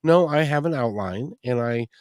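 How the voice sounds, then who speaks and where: A man's voice: mid-range voice, animated, normal speed, a little mellow, no room sound, clean studio sound. A man hosting a podcast interview, asking rambling questions with stammers and restarts. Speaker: podcast host.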